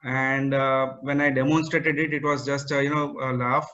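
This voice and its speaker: Male presenter, flat delivery, filler-heavy, muffled call line. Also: mid-range voice, moderate pace. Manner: hesitant, many fillers and restarts. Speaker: guest speaker. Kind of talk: online meetup presentation. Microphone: video call.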